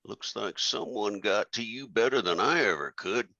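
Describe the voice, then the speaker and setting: An actor playing a menacing older crime boss and club-owning uncle in a scripted table-read drama, deliberate and threatening. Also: male voice, fairly low pitch, animated, sharp tone, dry recording, lo-fi sound, full character voice. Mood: stern, menacing.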